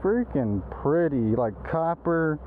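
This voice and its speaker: Casual male outdoor vlogger, highish voice, buddy slang, narrow phone-like sound with faint noise. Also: lively intonation, takes it slowly. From East Texas, United States. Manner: informal, exclamatory, buddy-style slang. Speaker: angler vlogger. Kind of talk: casual outdoor vlog chat. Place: outdoors by a creek. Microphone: first-person action camera.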